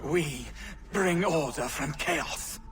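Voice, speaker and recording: A man's voice: fairly high pitch, slow, a bit bright, a little hiss or hum. A man playing a haughty, outraged and defiant villain in a dramatic video game scene.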